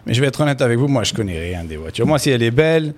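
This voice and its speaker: A young man from Canada, a real estate broker chatting about his path as a radio guest, relaxed and laughing easily. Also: medium pitch, racing. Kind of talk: casual radio interview.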